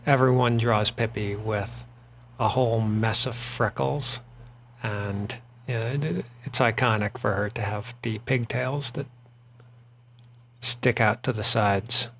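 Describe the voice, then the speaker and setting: A man's voice: on the low side, slow pace, vocal fry, a bit bright, slight background noise. A man hosting a drawing show, chatting casually and rambling a little with frequent fillers while he sketches. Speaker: artist and host.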